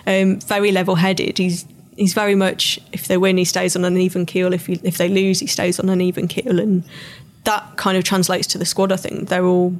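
Calm English woman chatting football. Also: fairly low pitch. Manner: calm, measured, lots of ums and restarts. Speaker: podcast co-host. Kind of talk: casual chat.

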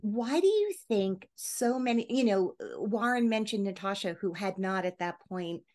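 A woman's voice: medium pitch, expressive, moderate pace, a little mellow. A woman hosting a conversational interview, talking informally and at length as she builds up to her questions. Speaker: interview host.

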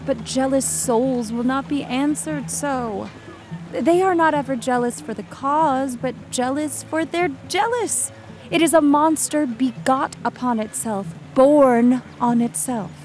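A woman's voice: sits high, measured pace, a little mellow, soft background music. An actress playing a worldly, cautionary lady's attendant in a Shakespearean audio drama, earnest and knowing. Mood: earnest, foreboding.

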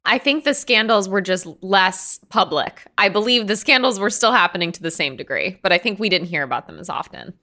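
A woman's voice: mid-range voice, expressive, a little fast, hardly any pauses, bright, forceful tone. A woman hosting a casual, conversational interview, asking questions and chatting. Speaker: interview host.

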